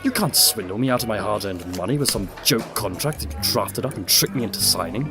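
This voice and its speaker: A man's voice: on the low side, very animated, brisk, over music. A man playing a vain, scheming nobleman in an audio drama, with an affected, pompous way of talking. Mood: indignant.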